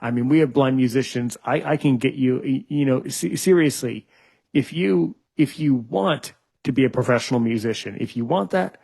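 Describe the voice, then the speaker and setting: A man's voice: medium pitch, normal speed, creaky, a little mellow, slightly rough. Teasing male podcast host on a call. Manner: joking, teasing, many restarts and "you know". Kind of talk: casual podcast interview over video call. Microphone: video call.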